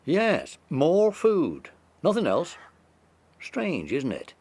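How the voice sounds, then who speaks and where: Man as an animated, baffled police sergeant. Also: a little high, extremely slow, breathy edge, no echo, pitched above the performer's own voice, full character voice. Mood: puzzled. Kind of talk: children's audiobook dramatization.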